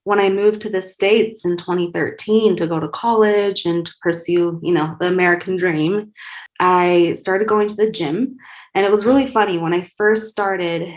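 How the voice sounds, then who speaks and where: A young woman from Taiwan, a guest in an interview, telling her story in a lively, casual way with plenty of "like" and "you know". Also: fairly low pitch, normal speed. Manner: lively storyteller, many likes and you knows.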